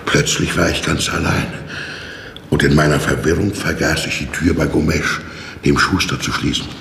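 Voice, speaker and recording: An actor playing a flustered, apologetic new prison warder in a fussy, rambling manner, in a radio drama. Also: male voice, very deep voice, steady tone, normal speed, creaky, fairly bright tone, full character voice. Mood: apologetic, weary. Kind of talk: 1960s German radio drama acting. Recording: echoey stone-walled reverb, noisy old radio recording.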